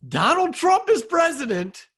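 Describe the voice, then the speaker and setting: A man's voice: very high-pitched, sing-song, unhurried, fairly bright tone. A man with an American accent co-hosting a podcast, making introductions and asking questions in a conversational way. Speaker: podcast co-host.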